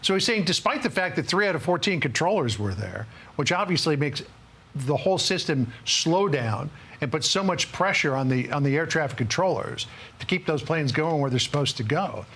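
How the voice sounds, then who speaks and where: A man's voice: on the high side, expressive, normal speed, fairly bright tone, husky. A man working as a news anchor, interviewing a guest in an emphatic broadcast style that repeats words for stress.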